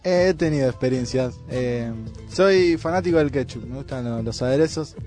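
A man's voice: medium pitch, moderate pace, darkish tone, soft background music. A man with a Buenos Aires area Argentine accent, chatting casually as a guest in a radio studio. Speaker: studio guest, band member. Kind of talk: casual chat, anecdote.

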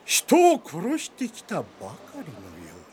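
High-pitched eccentric old fortune-teller. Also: male voice, heavy background noise, full character voice. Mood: ominous musing. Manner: folksy old-timer speech, slow and expressive. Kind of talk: radio drama acting.

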